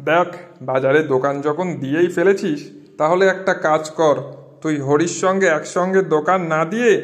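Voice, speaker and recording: A man's voice: fairly high pitch, normal speed, natural voice. A man voicing a pushy, scornful village schemer who persuades and sows distrust in a moral-story cartoon. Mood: sly persuasion. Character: scheming older village acquaintance sowing distrust between friends.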